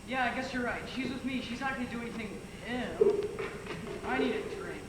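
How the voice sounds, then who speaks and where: A man's voice: very high voice, a little fast. A young man playing a gloomy, sardonic oddball in a stage comedy, with a theatrical delivery. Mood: glum. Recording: echoey noisy hall from far off, loud audience.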